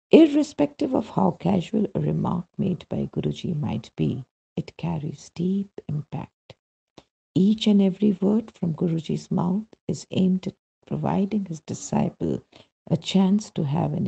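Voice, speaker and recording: Husky, dark-voiced man reading aloud. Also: a little high, lo-fi sound. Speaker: reader of a devotional testimonial. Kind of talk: scripted narration, read-aloud spiritual testimonial.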